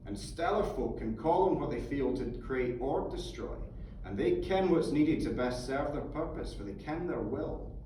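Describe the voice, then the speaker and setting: A man's voice: on the high side, lightly acted. A man reading the part of a fervent, sweary Scottish mentor who philosophises in an emphatic, coaxing manner. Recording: echoey theatre from far off, faint noise.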